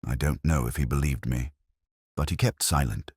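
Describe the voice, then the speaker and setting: A man voicing a weary, guilt-ridden elderly English magistrate in a story, confessing in halting, formal phrases. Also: very deep voice, darkish tone, breathy edge, no room sound, studio quality. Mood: guilty confession.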